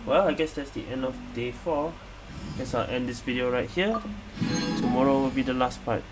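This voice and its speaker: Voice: mid-range voice, moderate pace, rough recording. A gaming video host giving casual let's-play commentary, hesitant and often repeating phrases while reacting. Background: game music.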